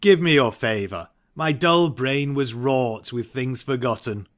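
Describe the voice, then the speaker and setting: An actor playing an ambitious, brooding warrior thane in a dramatic Shakespeare reading. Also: male voice, mid-range voice, unhurried, natural voice. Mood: courteous.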